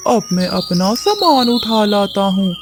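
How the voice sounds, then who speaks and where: Cartoon crow husband, played by a woman: swooping delivery, unhurried, boastful and besotted, over music. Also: medium pitch, very animated. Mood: boastful, giddy. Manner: eager, boastful, gushing. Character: lovestruck, boastful married male crow, scheming and gullible. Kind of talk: Hindi cartoon story dialogue.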